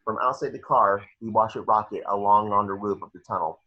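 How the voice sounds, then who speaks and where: Male script narrator, lower-pitched and husky, muffled home recording, slightly halting read of stage directions. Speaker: reader of action descriptions. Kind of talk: screenplay narration at a table read.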